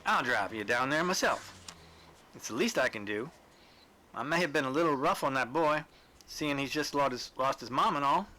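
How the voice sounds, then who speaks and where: A man's voice: medium pitch, expressive, moderate pace, sharp tone. A man reading a storybook role as a plain-spoken, shrewd rustic war veteran with a folksy, blunt way of talking. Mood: kindly, reassuring. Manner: folksy, dialect-laden, blunt. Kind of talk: storybook character dialogue.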